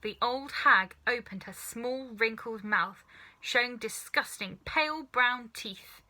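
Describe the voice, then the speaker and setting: A woman narrating a children's story in an expressive, scripted storytelling style. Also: fairly high pitch, slow. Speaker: storybook reader.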